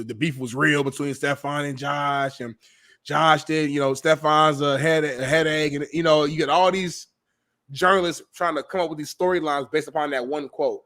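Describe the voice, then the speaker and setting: Higher-voiced man in his thirties. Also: fairly even intonation, moderate pace, fairly bright tone. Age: 30s. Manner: opinionated, laughs often, talks it through. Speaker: host. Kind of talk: casual sports talk.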